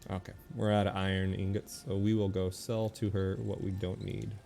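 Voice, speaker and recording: A man with a very deep voice and a fair amount of fry talks through a game as he plays. He is casual and relaxed, muttering his decisions aloud over music. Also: moderate pace. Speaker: video game streamer. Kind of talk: casual gameplay commentary, thinking aloud.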